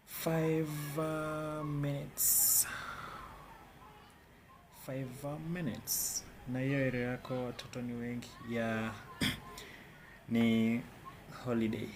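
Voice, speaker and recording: A man's voice: mid-range voice, flat delivery, slow pace, pauses often, noisy background. A man with a Kenyan accent giving casual live commentary on his trades, often repeating words and phrases. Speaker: day trader.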